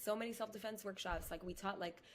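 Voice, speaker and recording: A woman at home in headphones, speaking as a guest over a video call. Her voice sits at a middle pitch, and she talks quickly and animatedly in a casual way, with a faint hum of noise behind her. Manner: animated, fast, lots of "like". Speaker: podcast guest. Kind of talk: casual conversation. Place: indoor room at home.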